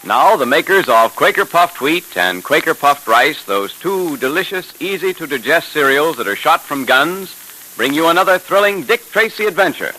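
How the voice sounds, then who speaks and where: A man's voice: a little high, sing-song, normal speed, bright, forceful tone, poor audio. A man announcing and narrating a 1930s radio serial with emphatic, polished salesmanship. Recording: hissy 1930s radio broadcast recording.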